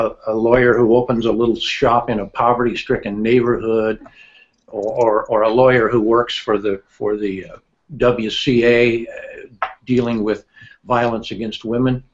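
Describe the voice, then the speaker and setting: A male law professor argues his view on a panel, repeating words as he works through his point. His voice is mid-pitched. The audio is dull and lo-fi, as over a video call. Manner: opinionated, repeats words while building a point. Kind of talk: panel discussion answer.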